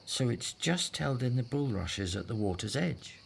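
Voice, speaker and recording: A man's voice: medium pitch, lively intonation, normal speed, gravelly, a little hiss or hum. An actor in a scripted audio drama playing a devout Hebrew husband and father, earnest and persuasive. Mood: reassuring, persuasive.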